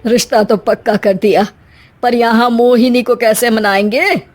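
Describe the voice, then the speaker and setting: Stern middle-aged father played by a woman, high pitch, reproachful, lecturing, traditional, cartoon story. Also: fairly even intonation, measured pace, natural voice. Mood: exasperated.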